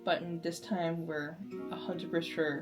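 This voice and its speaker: Female reaction host, slightly low voice, informal and excitable, halting delivery, clear guitar music behind. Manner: halting, informal, excitable, exclaims and repeats herself. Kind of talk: casual live reaction commentary to a TV series. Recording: music from the watched show behind.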